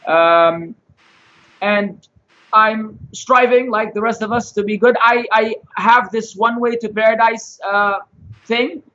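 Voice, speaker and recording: A man's voice: sits high, steady tone, slow, pushed and bright, dull audio. A cheerful, upbeat man from Lebanon in his thirties, a guest introducing himself on a live-stream chat over a video call. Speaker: guest lecturer. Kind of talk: casual live-stream interview.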